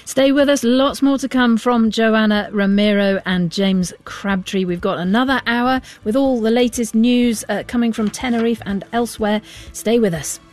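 A woman's voice: a little high, moderate pace, a little mellow, soft background music. A woman with a British accent presenting a news programme on the radio.

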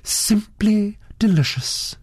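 A man's voice: sits high, animated, slow, husky, no room sound, clean studio sound, voice pushed up, exaggerated voice. A man voicing a dreamy, absent-minded famous actress who gushes about food. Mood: gushing. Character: vague, food-loving famous actress, a woman.

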